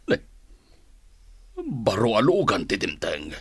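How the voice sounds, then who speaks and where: Scheming rich man, Filipino accent, husky, lively intonation, anxious, radio drama. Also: fairly low pitch, slow pace, no echo, full character voice. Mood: worried. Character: anxious husband and scheming wealthy businessman.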